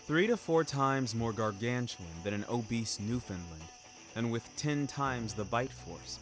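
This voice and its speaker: Slow, wry male storyteller over music. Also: mid-range voice, fairly bright tone. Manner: wry, literary, deliberate phrasing. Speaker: narrator of a fiction podcast. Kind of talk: scripted dramatic narration. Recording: music bed behind narration.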